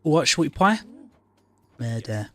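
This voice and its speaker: A man's voice: a little high, sing-song, slow pace, fairly bright tone. Sweary man muttering through live gameplay. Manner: muttering, sweary, terse reactions. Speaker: game streamer. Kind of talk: casual live gameplay commentary to himself. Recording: faint background noise.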